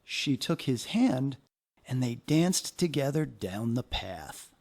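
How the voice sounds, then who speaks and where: A man narrating a children's story aloud in an expressive, deliberate way with dramatic phrasing. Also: medium pitch, heavy background noise.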